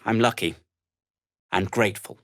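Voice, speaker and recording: An actor playing an earnest, self-deprecating gnome adventurer in a video game, hesitant and wry, with formal, wordy phrasing. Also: male voice, lowish voice, moderate pace, no room sound, studio quality, lightly acted. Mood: worried, determined. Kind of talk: video game character dialogue.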